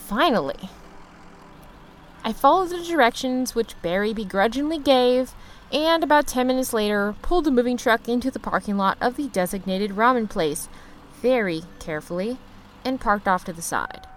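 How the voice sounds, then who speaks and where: A woman playing a scrappy, broke young mover who tells their misadventures in an expressive, slangy, playfully dramatic comedy audio-drama style. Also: fairly high pitch, normal speed, a little hiss or hum, close to an everyday voice. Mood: upbeat, hopeful. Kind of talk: first-person narrated comedic audio drama with acted dialogue.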